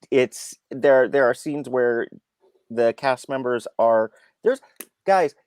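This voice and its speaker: Halting male film reviewer, dry close sound. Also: mid-range voice, darkish tone, no room sound. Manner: halting, searching for words, repeats himself. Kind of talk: unscripted review discussion.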